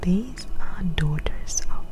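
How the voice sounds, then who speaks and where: A woman performing ASMR narration in a hushed, breathy whisper, pausing between short phrases. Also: on the low side, lively intonation.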